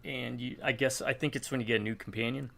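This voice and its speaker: A man's voice: medium pitch, steady tone, quick pace, vocal fry, pushed and bright. A man chatting casually while gaming, telling a long rambling story and acting out bits of dialogue. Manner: rambling storytelling, acts out quotes. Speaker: gamer co-op player.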